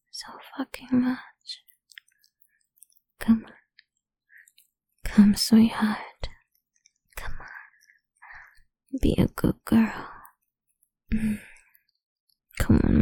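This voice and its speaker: A woman whispers close to the mic in an intimate roleplay, taking charge with a teasing, dominant edge. Her voice is dark and gravelly. She lingers over every phrase, unhurried and low. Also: no echo.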